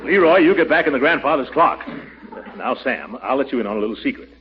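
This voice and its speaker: An actor playing a pompous, blustery middle-aged uncle who flusters easily, in 1940s radio comedy style. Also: male voice, medium pitch, animated, slightly rough. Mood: sly haggling. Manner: blustery, drawn-out exclamations and hesitations. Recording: dull, hissy 1940s radio recording.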